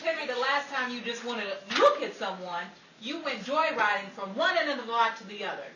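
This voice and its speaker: A woman playing a sharp-tongued, exasperated 1950s showbiz woman with dry sarcasm, in old-time radio comedy style. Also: a little high, animated, fairly quick, lightly acted. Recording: echoey, distant, noisy live audience recording.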